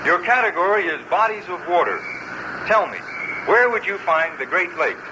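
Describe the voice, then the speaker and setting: A man's voice: high voice, big pitch swings, moderate pace. A man announcing in old radio presenter style on a noisy vintage recording.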